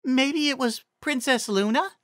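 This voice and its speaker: A man voicing an earnest young female pegasus pony, with slow, drawn-out, simple phrasing. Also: extremely high pitch, fairly bright tone, no echo, studio quality, voice pushed up. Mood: earnest, tired.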